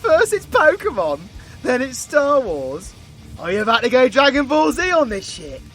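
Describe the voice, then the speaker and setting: Cocky British supervillain warlock played by a man, crude taunting banter, very animated, bright audio-drama delivery. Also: extremely high pitch, moderate pace, soft background music, heavily acted. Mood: amused. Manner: mocking, sweary, theatrical banter. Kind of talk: audio roleplay voice acting.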